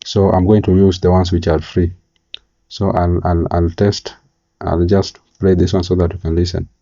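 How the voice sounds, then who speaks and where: Male tutorial presenter, very low and monotone, halting step-by-step delivery, slightly muffled recording. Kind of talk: screen-recorded software tutorial narration. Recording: slightly dull, lo-fi mic.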